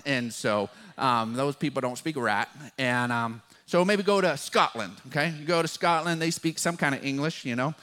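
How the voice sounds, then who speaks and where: A man with a West Texas drawl preaching a sermon as pastor, folksy and animated, with a touch of humor. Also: medium pitch, moderate pace, hardly any pauses.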